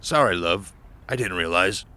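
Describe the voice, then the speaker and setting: A man's voice: deep voice, lively intonation, moderate pace, pushed and bright, clear, resonant tone, full character voice. A man playing a brusque stranger in a crowd of tourists in an audio drama. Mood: apologetic.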